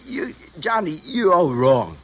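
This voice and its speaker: An actor playing a trusting, dim prizefighter, halting and bewildered, in 1950s radio drama style. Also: male voice, high voice, very animated, a bit bright, lo-fi sound, full character voice. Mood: confused, uneasy. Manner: simple, halting, bewildered, ungrammatical speech. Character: slow-witted, trusting, punch-drunk prizefighter. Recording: vintage radio drama recording.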